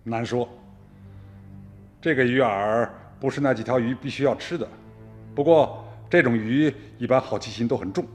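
Composed mid-pitched police captain acted by a man. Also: natural voice. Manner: measured, commanding. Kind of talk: radio drama acting.

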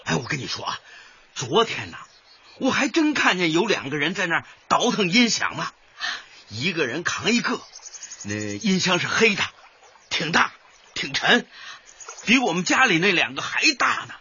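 Chatty older man in radio comedy, raspy but bright, wordy neighbour type, slightly lo-fi. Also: high voice, very animated, normal speed, long pauses, exaggerated voice. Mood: eager. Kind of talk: radio comedy drama acting.

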